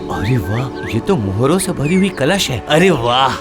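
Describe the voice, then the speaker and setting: A man's voice: mid-range voice, very animated, unhurried, over loud music. A man playing a meek but crafty poor village potter and harried husband in a cartoon, hesitant and exclamatory. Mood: amazed, scheming. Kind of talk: cartoon story voice acting.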